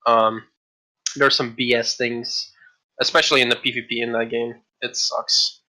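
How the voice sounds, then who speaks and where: A man's voice: medium pitch, little pitch movement, moderate pace, pushed and bright, poor audio. A man co-hosting a casual podcast about games, rambling with frequent restarts and casual swearing. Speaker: podcast co-host. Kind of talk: casual chat.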